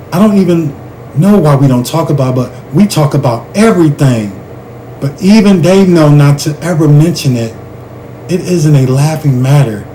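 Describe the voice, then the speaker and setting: A man reading a children's chapter book aloud in an animated, expressive way, speaking directly to the listener. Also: on the high side, a little hiss or hum, rough recording.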